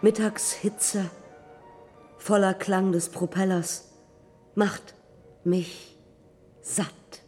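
An actress playing a dreamy, wry woman aviator in a German radio play, speaking in sparse, measured phrases. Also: medium pitch, steady tone, darkish tone, faint music behind.

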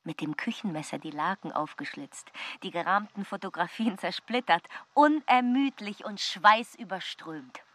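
An actress playing a wilful, excitable woman who relishes mischief, in a radio drama. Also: mid-range voice, normal speed, few pauses, natural voice. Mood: exhilarated. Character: Margarita, thrilled, wilful woman on a destructive rampage. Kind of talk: radio drama acting and narration.

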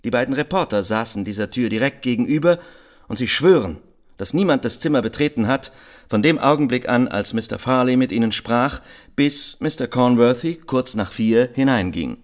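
A man's voice: mid-range voice, lively intonation, normal speed, close to an everyday voice. Methodical actor as male police inspector. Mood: dry, factual. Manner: dry, orderly reporting. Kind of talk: radio crime drama acting.

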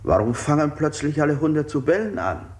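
An actor playing an unsettling interrogator in a German radio play, putting insistent, probing questions. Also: male voice, on the high side, sing-song, fairly quick, a little mellow. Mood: probing, menacing. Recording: hissy 1960s radio play recording.

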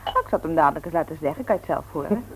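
An actress playing a teasing, lively sister in a Dutch radio play, talking in a colloquial way. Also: female voice, sits low, rapid-fire. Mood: confiding. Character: teasing, lively grown-up sister and daughter of the house. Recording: noisy old radio play recording.

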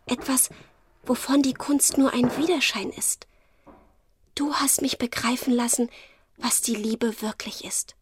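A woman playing an infatuated, fragile young actress in a literary radio play, with a breathy voice. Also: on the high side, fairly even intonation, unhurried, long pauses, whispered, close to an everyday voice. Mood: rapturous.

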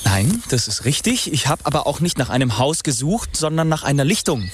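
Radio drama: male fairy-tale scholar, earnest, pedantic, obsessive, lightly high voice, faint noise outdoors. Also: sing-song, fairly quick. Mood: pedantic, insistent. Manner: pedantic, leading questions, insistent. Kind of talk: naturalistic radio drama dialogue. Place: outdoors in woodland.

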